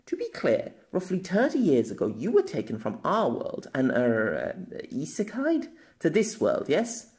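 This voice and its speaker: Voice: mid-range voice, huge pitch swings, measured pace, darkish tone. A storyteller voicing a proud, playful young noblewoman in an audio novel, with arch, formal diction. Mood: eager, theatrical.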